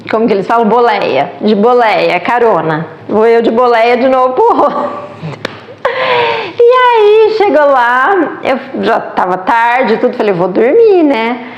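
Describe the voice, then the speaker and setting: A woman's voice: fairly high pitch, reverberant, lo-fi sound. A Brazilian woman with a Brazilian Portuguese accent, chatting casually and with animation as she tells a travel story, laughing often. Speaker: travel vlogger.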